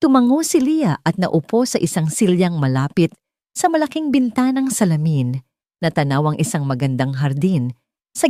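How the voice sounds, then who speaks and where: A woman's voice: fairly low pitch, warm tone, dry recording, clean studio sound. A woman with a Filipino accent reading a scripted dramatic story as an audiobook narrator.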